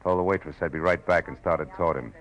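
A man's voice: sits low, steady tone, fast, a bit bright, muffled recording. An actor playing a wry, world-weary private detective, terse and sardonic, telling his case in a 1940s radio drama. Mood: wry narration. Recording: vintage radio drama with music.

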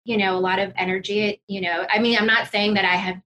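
A woman speaks as a podcast guest in a bright, crisp-edged voice. She is informal and emphatic, chatting casually in an office. A faint rumble sits behind her. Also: steady tone, quick pace, poor audio. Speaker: podcast guest, wealth management executive. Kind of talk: casual conversational interview. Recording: noticeable low background rumble.

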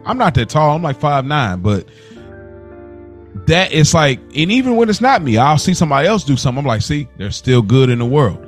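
A man's voice: fairly high pitch, sing-song, brisk, faint music behind. A man co-hosting a podcast, chatting casually and reflectively in a conversational way. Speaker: podcast co-host. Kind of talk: casual chat.

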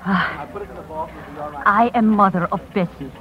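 A woman's voice: mid-range voice, lively intonation. Humble older immigrant mother, dull recording. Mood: plain, urgent. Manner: halting, plain, broken English. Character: humble, brave older immigrant mother, grieving but resolute. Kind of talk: 1950s radio drama acting. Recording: dull, faintly noisy vintage radio recording.

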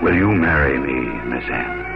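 An actor playing a gallant, formal naval captain, intense and romantic, in a 1950s radio drama. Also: male voice, very deep voice, animated, moderate pace. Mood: tender probing. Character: ardent, gallant English naval captain in love. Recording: dull old radio, music behind.

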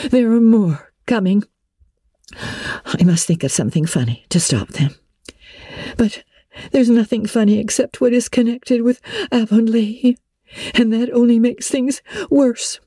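A woman plays a dreamy, homesick teenage girl from a classic storybook. Her delivery is slow and dramatic, full of wistful exclamations. The voice is soft and mellow. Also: fairly high pitch, husky, no room sound, studio quality, pitched above the performer's own voice.

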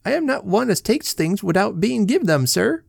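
A man reading a storybook and voicing a weepy old Irish woman with a high, quavering wail. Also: big pitch swings, normal speed, gravelly, darkish tone, husky, no echo, studio quality, full character voice. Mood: pleading.

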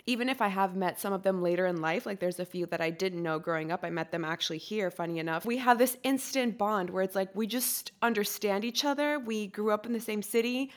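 A woman from Costa Rica hosting a podcast, chatty and animated, laughing easily as she talks. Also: medium pitch, a little fast, few pauses. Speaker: podcast host. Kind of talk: casual podcast interview.

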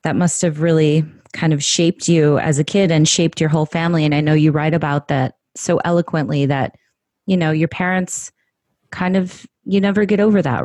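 Podcast host, female, low register, gushing and upbeat, dry close sound. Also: darkish tone, no room sound. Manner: effusive, enthusiastic. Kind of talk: podcast interview.

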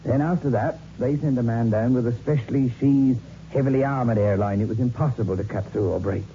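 An actor playing a grave local police sergeant who tells ghost tales in a folksy, ominous manner, in 1960s radio drama style. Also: male voice, mid-range voice, fairly quick, warm tone, close to an everyday voice. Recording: muffled, hissy old radio recording.

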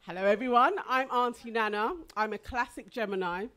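A cheeky middle-aged woman rambles and jokes on a live podcast. Her voice is fairly high and sharply bright. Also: brisk. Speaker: podcast co-host.

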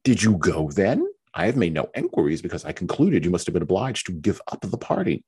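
A patient, sensible young woman with a calm, soothing manner, read by a man in his own deep, husky voice. The lines move with lively pitch. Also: fairly quick, exaggerated voice. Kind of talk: reading a novel aloud.

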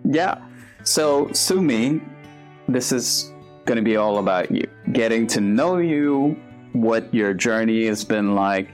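A woman hosts a casual interview, asking upbeat, eager questions in an exceptionally low voice. Soft guitar music plays faintly behind her. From India. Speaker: podcast host.

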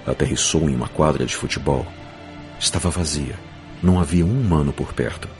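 An extremely low-voiced man narrates fiction from a script in a dramatic storytelling style. Music plays clearly underneath his voice. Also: darkish tone. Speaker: audiobook narrator.

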